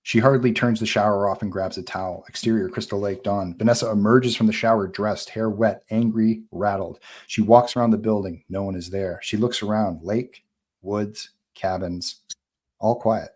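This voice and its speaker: Low-voiced man narrating a script. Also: fairly even intonation, normal speed, a little mellow. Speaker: narrator reading stage directions. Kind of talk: scripted narration at a live table read.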